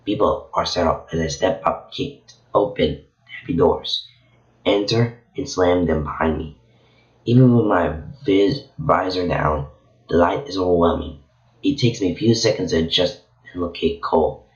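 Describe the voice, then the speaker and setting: A man from Venezuela, hoarse from losing his voice, reading a story chapter aloud in a casual, halting way with frequent slips. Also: low voice. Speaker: channel host reading a story. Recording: echoey room, dull lo-fi sound.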